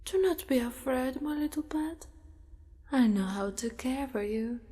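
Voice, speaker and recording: Slow, hushed spider monster girl played by a woman. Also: high-pitched, expressive. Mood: possessive, soothing. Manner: slow, hushed, often slipping into whispers, formal wording. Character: possessive, doting, predatory female spider monster. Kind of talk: ASMR monster roleplay. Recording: long reverberant echo.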